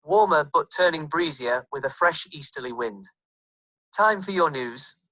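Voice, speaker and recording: A synthetic voice assistant with a generic northern English accent, reading responses in an even, scripted way. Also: medium pitch, normal speed, no echo.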